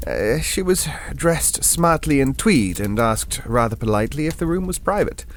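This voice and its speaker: A man's voice: mid-range voice, very animated, moderate pace, a little hiss or hum. An actor playing a genteel older Englishman, telling a ghost story in an audio drama in a hesitant, digressive, politely formal and wistful way. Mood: reflective, hesitant.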